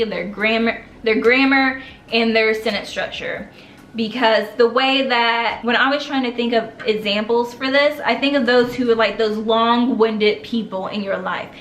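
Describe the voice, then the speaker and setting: A woman's voice: fairly high pitch, fairly bright tone, roomy sound, slight background noise. A young woman giving casual writing advice in a chatty, loose way, full of fillers and restarts. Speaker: vlogger giving writing tips.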